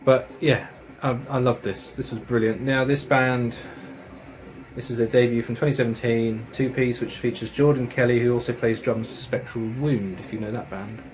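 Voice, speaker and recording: A man's voice: mid-range voice, fairly even intonation, normal speed, faint music behind, rough recording. Chatty man raving about metal. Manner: enthusiastic, chatty, with British slang and swearing. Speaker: metal music reviewer. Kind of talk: casual review of records and tapes.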